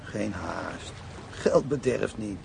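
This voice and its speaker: An actor playing a cautious, ruthless drug gang boss in a crime radio drama, with a creaky voice and a blunt, scheming manner. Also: male voice, on the low side, expressive, takes it slowly, full character voice. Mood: cold scheming. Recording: faint background noise.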